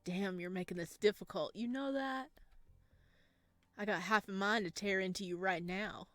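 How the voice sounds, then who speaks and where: A woman voicing a cocky, rough-voiced male shopkeeper who is a predatory cannibal, with a taunting, menacing drawl. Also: medium pitch, normal speed, a bit bright, no room sound, faint music behind, lightly acted.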